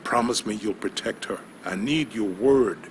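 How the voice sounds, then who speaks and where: A man playing an older, stubborn, protective father in a video game drama, talking in a gruff, folksy, grumbling way. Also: fairly low pitch, moderate pace, slight background noise, natural voice. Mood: pleading. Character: stubborn, protective old father who cannot walk.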